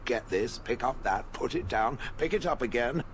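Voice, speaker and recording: An actor playing a sardonic stone golem from a fantasy video game, haughty and contemptuous of people. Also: male voice, medium pitch, animated, slight background noise, lightly acted. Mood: bitter, dry. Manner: haughty, sardonic, deliberate phrasing.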